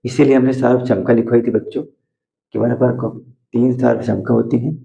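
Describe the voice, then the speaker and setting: A hoarse man teaching math to school students at a board, repeating steps and asking questions that he answers himself. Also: mid-range voice, steady tone, moderate pace, dark tone, dull audio, slight background noise. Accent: Hindi.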